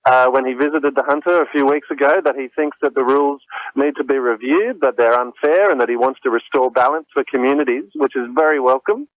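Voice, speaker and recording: Man, campaigner's interview comment, mid pitch, dry, muffled audio. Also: quick pace, dry recording. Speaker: campaign coordinator giving comment.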